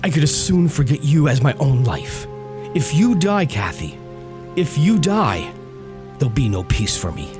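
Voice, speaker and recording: A man's voice: on the high side, sing-song, a little slow. A man playing a bitter, passionate, grieving lover in a melodramatic audio drama, tormented and intense. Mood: tender anguish. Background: dramatic music.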